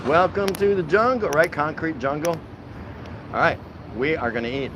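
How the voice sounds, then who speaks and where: A man's voice: on the high side, expressive, normal speed, noisy background. An American man chatting casually and jokily to his viewers as a folksy vlog host, recorded outdoors. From Milwaukee, United States. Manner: folksy, colloquial, jokey. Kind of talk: casual livestream chat to viewers. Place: outdoors at a city food truck.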